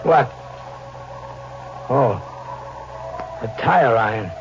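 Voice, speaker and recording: Hard-boiled fugitive, old radio thriller. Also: male voice, medium pitch, animated, drawn-out words, loud music behind the voice. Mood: uneasy. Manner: terse, hard-boiled, slangy. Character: wary fugitive tough guy posing as a hired killer. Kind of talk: 1940s radio acting with first-person narration. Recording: dull old radio recording.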